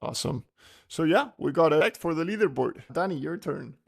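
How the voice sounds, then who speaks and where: A man's voice: a little high, lively intonation, normal speed, creaky. A man co-hosting a podcast in a casual, chatty interview style. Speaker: podcast co-host.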